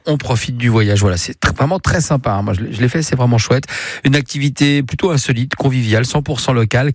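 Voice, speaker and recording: Un homme animateur de matinale radio avec un lorrain, qui parle sur un ton bavard et blagueur, avec beaucoup d'hésitations. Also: male voice, mid-range voice, husky, no echo, studio quality. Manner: chatty, joking, many fillers and restarts. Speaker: radio host. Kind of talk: morning radio chat. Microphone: studio microphone.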